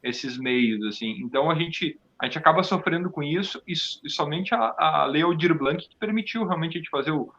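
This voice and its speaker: A man giving a thoughtful, halting answer in a conversational interview. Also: fairly high pitch, expressive, a bit bright, muffled recording. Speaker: theatre performer, interview guest.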